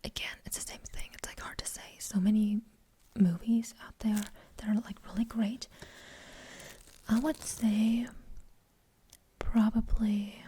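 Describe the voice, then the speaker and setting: Soft whispering young German woman. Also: mid-range voice, level tone, unhurried, long pauses, slight background noise, low-quality recording. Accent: light German. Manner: rambling, hesitant, many fillers. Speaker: ASMR creator. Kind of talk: whispered ASMR Q&A chat.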